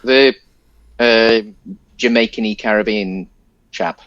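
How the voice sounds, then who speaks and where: A man's voice: on the low side, slow, fairly bright tone, no room sound, lo-fi sound. A British man co-hosting a podcast, chatting casually about a film in a hesitant, rambling way. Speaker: podcast co-host.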